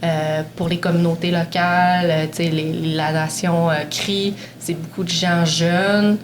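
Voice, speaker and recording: A woman with a Quebec French accent, speaking haltingly as a panel guest with many fillers and repeats. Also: lowish voice, level tone. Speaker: panel guest, community relations director. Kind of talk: conversational interview. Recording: noisy room recording.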